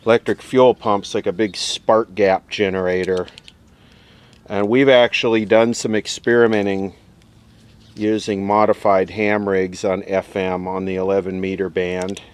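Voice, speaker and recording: A man speaks in a lowish voice at a very unhurried pace. His commentary is casual and unscripted, like a vlogger showing equipment hands-on. He rambles a bit, working things out aloud as he goes. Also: flat delivery, clean tone. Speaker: vlogger demonstrating vintage radio equipment.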